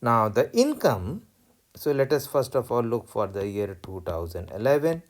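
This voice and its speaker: A man lecturing on accounting, explaining worked exercises step by step in a deliberate way and repeating key points. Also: mid-range voice, big pitch swings. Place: voice-over recorded over tablet screen.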